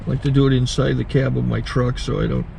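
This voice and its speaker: A man hosting his channel, casually walking through a gear setup with halting self-corrections. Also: mid-range voice, flat delivery, creaky. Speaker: channel host, recording taper. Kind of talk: casual how-to demonstration. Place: inside a truck cab. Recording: faint car and outdoor noise.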